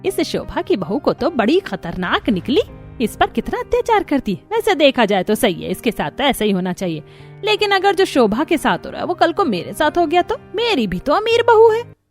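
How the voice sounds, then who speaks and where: Scolding Indian mother-in-law, middle-aged, high pitch, melodramatic, cartoon story, faint background music. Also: wildly swooping pitch, moderate pace. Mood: worried muttering. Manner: nagging, melodramatic. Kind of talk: animated moral-story voice acting.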